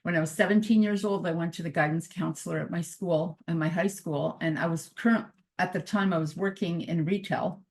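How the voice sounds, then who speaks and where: A woman's voice: fairly low pitch, fairly even intonation, fairly quick, few pauses. A middle-aged woman, originally Croatian-speaking, giving an upbeat motivational talk as a mindset coach, with frequent laughs and emphatic repeated phrases. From Canada, born in Croatia.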